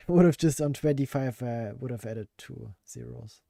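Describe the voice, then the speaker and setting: A man streaming his game development, hoarse with a worn-out voice, thinking aloud, answering chat and laughing at himself. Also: medium pitch, measured pace, dark tone, no echo, studio quality. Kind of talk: casual live-stream chat while coding.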